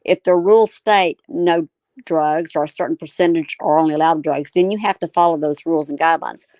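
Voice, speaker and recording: A woman's voice: low voice, fairly quick, a little mellow, dry recording. A woman panelist casually explaining a point in answer to a comment.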